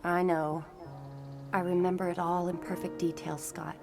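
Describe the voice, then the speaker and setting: A woman's voice: on the low side, level tone, normal speed, vocal fry. A woman playing a troubled young psychic heroine with a wry, sardonic manner in a motion comic. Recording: music under the voice.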